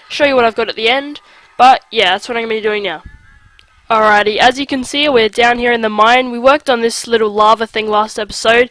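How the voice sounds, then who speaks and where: A man's voice: extremely high pitch, pushed and bright, dry recording, low-quality recording. Nasal young man chatting over gameplay. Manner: chatty, rambling with fillers. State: nasal from a cold. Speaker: let's play host. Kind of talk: casual gameplay commentary.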